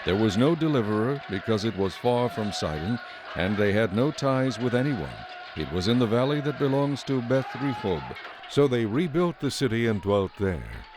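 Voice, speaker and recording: A man reading scripted Bible narration in a measured, solemn storytelling manner. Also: medium pitch, very animated, noisy background. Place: dry studio.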